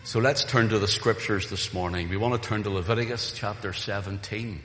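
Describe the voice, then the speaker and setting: A man with a low voice preaches a sermon, earnest and building to emphatic calls to his congregation. His voice is still a little weak from recent voice loss. The sound is roomy, with a long hall reverb and faint ambience behind. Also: fairly even intonation, fairly quick, fairly bright tone, slight background noise. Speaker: pastor. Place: church hall.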